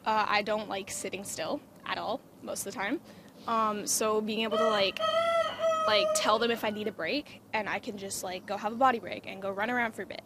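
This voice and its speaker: A female student talking casually and quickly outdoors, with "like" fillers. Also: mid-range voice, steady tone, background noise. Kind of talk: interview. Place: outdoors at a farm.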